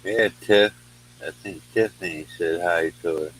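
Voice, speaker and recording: A man with a deep, low voice chats casually as a livestream co-host. His delivery is informal and laid-back, over a faint noisy hum. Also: measured pace, a bit bright. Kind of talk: casual chat. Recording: faint background noise and hum.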